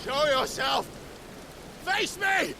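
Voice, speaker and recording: An actor playing a fierce, anguished, gruff hero in a fantasy game, with a gravelly voice. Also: male voice, very high voice, expressive, extremely slow, full character voice. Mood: defiant. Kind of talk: cinematic fantasy game acting. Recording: noisy rainy ambience.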